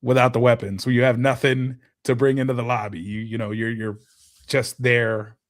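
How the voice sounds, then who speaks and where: A man's voice: medium pitch, level tone, moderate pace. A man guest on a casual podcast, animatedly explaining things through gaming comparisons. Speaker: guest content creator.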